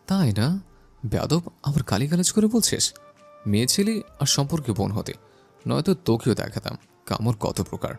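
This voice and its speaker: A man's voice: medium pitch, normal speed, creaky, long pauses, husky, faint music behind, full character voice. A man playing a bossy, teasing young husband in an audio love story, possessive but loving. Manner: teasing, possessive, lively delivery. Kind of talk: audio story character acting.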